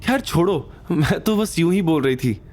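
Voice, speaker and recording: A man's voice: on the high side, lively intonation, normal speed, darkish tone, slight background noise, pitched above the performer's own voice, close to an everyday voice. A man voicing a bubbly, playful young woman with a light, teasing manner in an audio drama. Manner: light, chirpy, casual.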